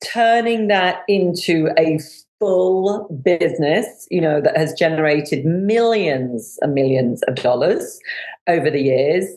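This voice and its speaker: Low-voiced woman telling her story. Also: takes it slowly. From United Kingdom. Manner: animated storytelling with many fillers. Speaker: guest, business coach. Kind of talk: conversational podcast interview.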